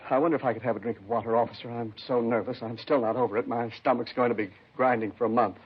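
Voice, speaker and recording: A man's voice: medium pitch, steady tone, fast, breathy edge, close to an everyday voice. An actor playing a fussy, nervous, sarcastic bank manager in a 1950s radio drama, fretful and peevish as he talks. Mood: nervous complaining. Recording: dull 1950s radio recording.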